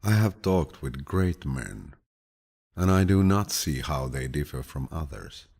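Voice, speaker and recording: A man reading an audiobook of quotations slowly in a deep, low, husky voice, with a calm, soothing, grandfatherly manner. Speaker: audiobook narrator. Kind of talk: slow scripted audiobook narration of quotations.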